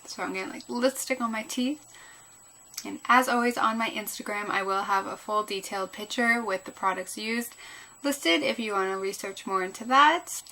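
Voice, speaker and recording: A woman's voice: medium pitch, normal speed, bright, forceful tone. A woman chatting casually through a makeup tutorial as a beauty vlogger, sounding a little groggy and tired. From Canada. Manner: chatty, rambling.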